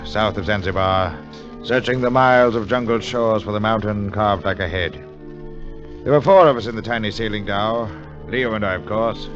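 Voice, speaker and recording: An actor playing an educated, cautious gentleman adventurer who narrates his expedition in a measured, formal 1940s radio style. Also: lowish voice, close to an everyday voice. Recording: muffled 1940s radio broadcast, music behind.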